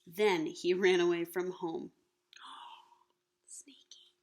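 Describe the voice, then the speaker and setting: A woman reading a children's picture book aloud expressively, animated and slipping in hushed asides to the listeners. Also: on the low side, drawn-out words. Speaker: storybook reader.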